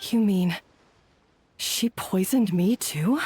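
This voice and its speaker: A woman voicing a proud head chef humbled by guilt in a video game, hesitant and halting. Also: medium pitch, warm tone, slightly rough. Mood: shocked.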